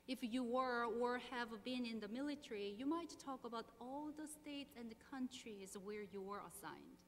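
A Korean-accented woman in her thirties preaches and prays in slow, earnest, deliberate phrases. Her voice sits a little high, and it rings out with a long reverb in a church sanctuary over a faint hum. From South Korea. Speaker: pastor. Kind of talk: sermon with prayer and scripture reading.

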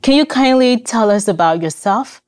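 A woman hosting a podcast interview, asking polite, gently formal questions. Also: a little high, expressive, normal speed. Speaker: podcast host.

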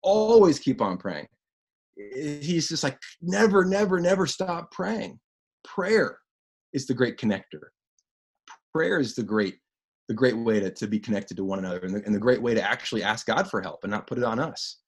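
A man with a deep voice preaching a sermon as pastor, animated and repeating phrases for emphasis. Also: normal speed, slightly rough.

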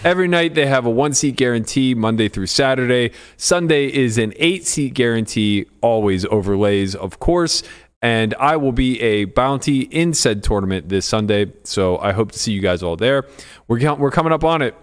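A man's voice: mid-range voice, expressive, moderate pace, clean tone. A man hosting a casual poker podcast, bantering in a blunt, teasing, informal way with casual swearing. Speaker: podcast host.

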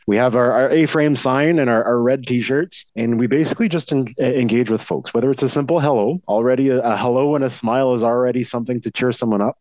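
A man's voice: mid-range voice, darkish tone, dry recording. Eager young man, dull dry sound. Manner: eager, articulate, explanatory. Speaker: student project guest. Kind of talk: radio interview answers.

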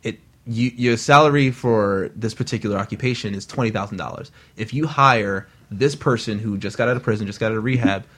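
A man's voice: on the low side, fairly quick, hardly any pauses. A man retelling something he learned, casually, on a radio talk show. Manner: storytelling, animated retelling.

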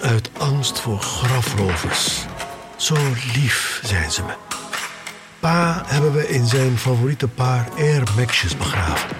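An actor playing a sneaker-obsessed, wistful man of the future, telling his story in a deliberate, dramatic radio-drama monologue. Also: medium pitch, lightly acted. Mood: possessive, intense.

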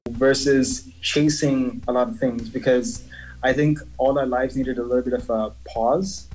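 A man who grew up in Dubai, a DJ talking casually as a guest, pausing often with fillers and restarts. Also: mid-range voice, fairly even intonation, darkish tone, low-quality recording. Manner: hesitant, many fillers and restarts. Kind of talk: casual interview chat.

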